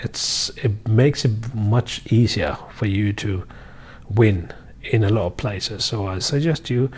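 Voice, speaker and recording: A man's voice: on the low side, slow, darkish tone, husky. A man in his thirties from Sri Lanka, teaching English basics to camera in a casual, encouraging way with many fillers, recorded on a lapel mic in an office. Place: high-rise office by a window, indoors.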